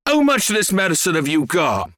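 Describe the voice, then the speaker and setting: A storyteller voicing an excitable farmer father who blusters and exclaims. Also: medium pitch, fairly quick, no room sound, studio quality, pitched above the performer's own voice. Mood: eager.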